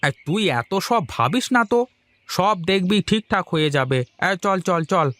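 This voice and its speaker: A man voicing a village neighbour in a cartoon, brisk and reassuring, brushing worries aside. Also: high voice, lively intonation, a bit bright, no echo. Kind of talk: cartoon dialogue.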